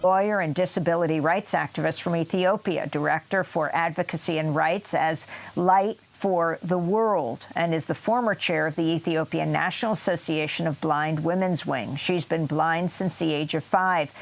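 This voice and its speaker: Gravelly-voiced woman interviewer. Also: fairly low pitch. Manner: formal broadcast introduction. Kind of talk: news interview. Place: broadcast studio.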